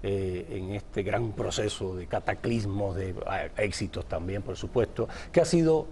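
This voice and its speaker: A man's voice: lowish voice, unhurried, a little mellow, slight background noise. A man from Cuba, an author and journalist, answering interview questions in a reflective, discursive way.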